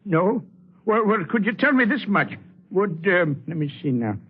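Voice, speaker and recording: An actor playing a befuddled, meek passerby in a 1940s radio drama, dithering and hesitant. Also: male voice, high voice, very animated, unhurried, slightly rough, full character voice. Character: befuddled, meek, footsore male passerby, polite. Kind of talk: 1940s radio character acting. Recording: muffled old radio recording.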